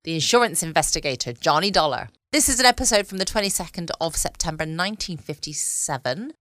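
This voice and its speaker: A woman's voice: fairly low pitch, animated, quick pace, fairly bright tone, dry recording. A British woman chatting casually as the host of a late night bedtime podcast. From United Kingdom.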